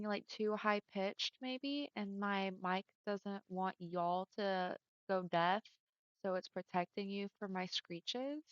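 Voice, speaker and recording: Chatty woman streamer, smooth deeper voice. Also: no echo. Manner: chatty, friendly, often laughing. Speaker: livestreamer. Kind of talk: casual chat with viewers. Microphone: headset mic. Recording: dull lo-fi headset mic.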